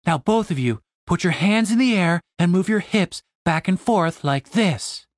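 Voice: medium pitch, animated, unhurried, no echo, studio quality. A narrator voicing a bold, mischievous woman with a brash, casual manner in an audiobook. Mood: bossy.

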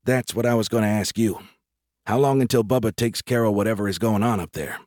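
A man's voice: lowish voice, brisk, darkish tone, dry recording, studio quality, lightly acted. A man voicing an easygoing, slangy young dad. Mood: curious. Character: easygoing, sweary young father, casual and slangy. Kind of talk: audiobook character voice.

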